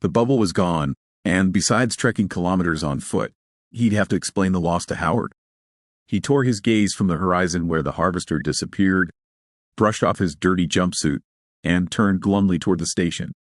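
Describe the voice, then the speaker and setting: A man's voice: deep voice, moderate pace, no echo, clean studio sound. A man reading scripted fiction as a story narrator.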